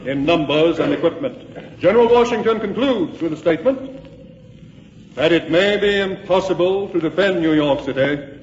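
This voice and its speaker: A man plays a grave presiding statesman in a vintage radio drama. He declaims solemnly in a fairly high male voice. It echoes through a big hall on a dull recording. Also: animated, moderate pace, a little hiss or hum, natural voice. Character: grave male presiding officer of Continental Congress. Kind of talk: old-time radio drama, formal address.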